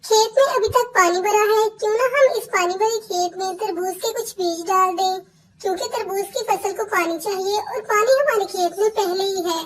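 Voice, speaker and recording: A woman's voice: very high voice, normal speed. A woman voicing a young female sparrow daughter-in-law in a cartoon, with a childlike voice. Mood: earnest, helpful. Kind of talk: Hindi cartoon voice acting.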